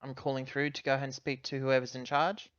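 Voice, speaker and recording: A man playing a tabletop roleplaying game, casually describing his character's moves in a wordy, halting way. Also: mid-range voice, flat delivery, a bit bright, clear, resonant tone, dry recording, lo-fi sound. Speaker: player in a tabletop game, voicing a female character.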